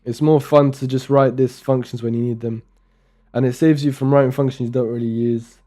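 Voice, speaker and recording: A man's voice: mid-range voice, steady tone, brisk, warm tone. A young man from Romania, casually commenting while live coding and muttering code aloud as he types, with chatty asides. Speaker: programming streamer.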